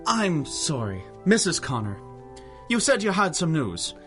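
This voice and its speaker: An actor playing a kindly, easily astonished priest, gentle and formal, in a radio drama. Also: male voice, fairly high pitch, very animated, unhurried, faint music behind. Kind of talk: 1930s-style radio drama acting.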